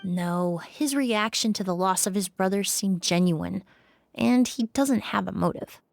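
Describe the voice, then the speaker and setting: A woman voicing a composed young woman who examines a crime scene in a measured, analytical way. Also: mid-range voice, vocal fry, slight background noise. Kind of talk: video game voice acting.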